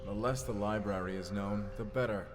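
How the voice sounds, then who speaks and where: Guy playing cold scheming villain, condescending, lowish voice, audio drama, big hall echo w music. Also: steady tone, moderate pace, close to an everyday voice. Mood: cold, chiding. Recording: long hall reverb, music underneath.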